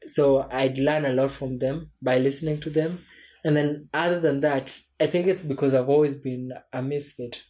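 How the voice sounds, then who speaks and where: A young man in his twenties, a podcast guest talking through his thoughts in a relaxed, reflective way with frequent restarts. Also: medium pitch, normal speed. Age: 20s. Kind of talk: casual chat.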